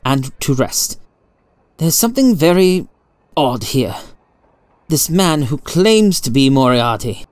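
A man voicing a sharp, thoughtful detective in a storybook, with a formal, incisive way of speaking. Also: on the high side, very animated, takes it slowly, long pauses, no echo. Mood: puzzled.

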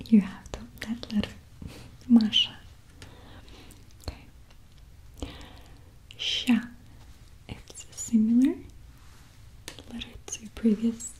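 A woman with a Russian accent, a native Russian speaker, softly whispering a patient lesson on the Russian alphabet, repeating each sound. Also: mid-range voice, low-quality recording. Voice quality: soft whisper. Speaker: language teacher.

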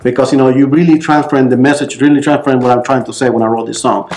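A man's voice: medium pitch, steady tone, racing, darkish tone, a little hiss or hum. A man with a Costa Rican accent co-hosting a casual podcast, telling friendly stories. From Costa Rica. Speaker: podcast co-host.

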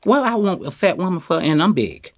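A man's voice: high voice, big pitch swings, a little fast, no room sound, clean studio sound. A man in his fifties from Chicago, talking boastfully in street slang while interviewed on a city street. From Chicago, USA. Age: 50s. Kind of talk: candid street conversation. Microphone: handheld mic.

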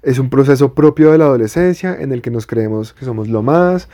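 A man's voice: mid-range voice, fairly quick, warm tone. A young man from Bogotá with a Bogotá accent, co-hosting a podcast and explaining his views at length in a reflective, casual way. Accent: Bogotá Colombian Spanish. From Colombia. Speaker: podcast co-host. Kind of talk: casual chat.

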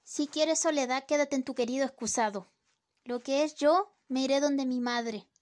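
A woman's voice: high-pitched, moderate pace, lightly acted. A young woman, a student actor, playing a clingy, melodramatic wife in an amateur radio drama. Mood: sulky. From Chile. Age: young adult.

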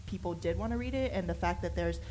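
Woman panelist, slightly low voice, talks in loops with lots of fillers and restarts. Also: quick pace, a little mellow, a little hiss or hum. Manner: rambling, many restarts and fillers. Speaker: panelist, writer. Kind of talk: panel discussion.

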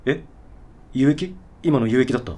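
An actor playing a cocky, condescending self-styled veteran who talks in brusque hard-boiled slang in a radio drama. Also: male voice, medium pitch, normal speed, dark tone, lightly acted. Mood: flustered, eager. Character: cocky self-styled veteran antidepressant user talking like gunman. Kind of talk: Japanese radio drama dialogue.

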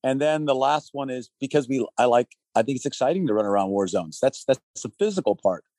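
A man's voice: medium pitch, animated, a little fast, a little mellow, no room sound. A man in his sixties, raised in Thailand, telling his story in an earnest, conversational way, listing his points and chuckling between phrases. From Texas, raised in Thailand. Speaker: guest, relief organization leader. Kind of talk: conversational interview answers.

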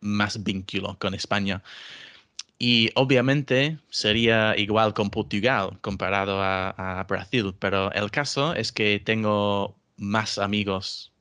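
A man's voice: lowish voice, steady tone, takes it slowly, pushed and bright, clear, resonant tone. A man whose first language is English, speaking Spanish as a learner in a casual interview, hesitant with many restarts and fillers. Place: England.

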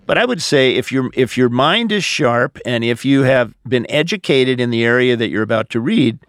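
American man, pastor, conversational teaching, explanatory, medium pitch, dry close recording. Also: lively intonation, moderate pace, fairly bright tone, dry recording. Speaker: pastor answering listener questions.

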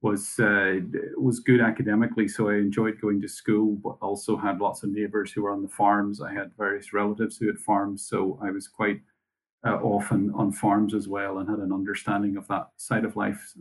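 A man from Northern Ireland with a Northern Irish accent, a guest telling his story in a measured, matter-of-fact way over a video call. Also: lowish voice, monotone, rough recording. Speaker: mission worker, guest. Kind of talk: conversational interview answers.

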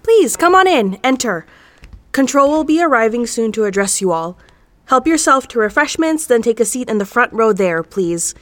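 Casting: polite, brisk female receptionist, animated and fairly high, audio comedy. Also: no echo. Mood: brisk, welcoming. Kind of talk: comedic audio drama acting.

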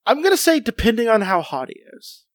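Man, high voice, playful rambling podcast chat, dry close sound. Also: big pitch swings, a little fast, no room sound. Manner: playful, rambling with many fillers. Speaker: podcast co-host. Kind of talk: casual chat.

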